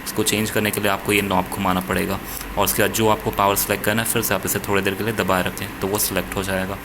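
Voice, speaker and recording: A man's voice: low voice, level tone, fast talker, noisy background. A man with an Indian accent giving a hands-on gadget demonstration, explaining step by step and repeating points as he goes. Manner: switches between Hindi and English, repeating points. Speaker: product reviewer.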